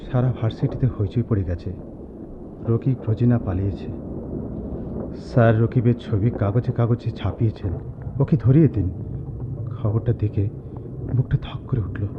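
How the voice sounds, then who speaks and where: A man's voice: lowish voice, lightly acted. An actor in a radio drama playing an earnest, loyal young student who tells his friend's story with emphatic, dramatic delivery. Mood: grave narrating. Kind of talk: Bengali radio drama acting with narration. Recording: dull noisy old radio-play recording.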